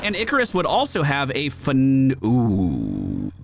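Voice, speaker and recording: A man giving casual, animated live commentary as a gaming host, exclamatory and swearing freely. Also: medium pitch, slow, vocal fry. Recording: game music behind.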